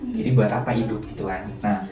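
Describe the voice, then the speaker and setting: A man in his twenties, a student, talking in a relaxed, slangy, emphatic way. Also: fairly low pitch, fairly quick. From Indonesia. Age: 20s. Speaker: student interviewee. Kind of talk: casual student interview. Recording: roomy distant mic with clear music.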